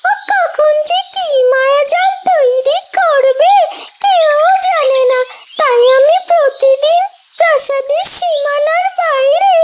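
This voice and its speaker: A performer voicing a small, loyal female talking bird in a cartoon, with a squeaky, childlike voice. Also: very noisy. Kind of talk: cartoon character dialogue. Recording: loud music bed behind the voice.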